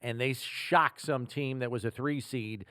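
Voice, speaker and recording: A man hosting a sports podcast, explaining football scenarios in a measured, deliberate way. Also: mid-range voice, bright, forceful tone, clean tone, no echo, clean studio sound. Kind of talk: football analysis talk.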